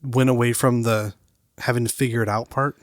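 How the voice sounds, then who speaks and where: Laid-back male co-host, mid-pitched, a bit stuffy, dry close sound. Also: fairly even intonation, fairly quick, no room sound. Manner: easygoing, joking, filler-heavy. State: slightly stuffy from a cold. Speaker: podcast co-host. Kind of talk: casual chat.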